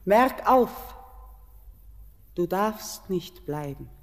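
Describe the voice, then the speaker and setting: A woman's voice: mid-range voice, animated, drawn-out words. An actress playing a mysterious mountain queen in a classic German radio play, solemn and incantatory, tender yet commanding. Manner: solemn, incantatory verse delivery, hushed at times. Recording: radio play with long hall reverb.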